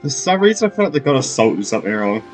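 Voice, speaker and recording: A man's voice: mid-range voice, sing-song, fast. A man giving casual, off-the-cuff gameplay commentary as he plays. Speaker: gamer, let's player. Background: game music.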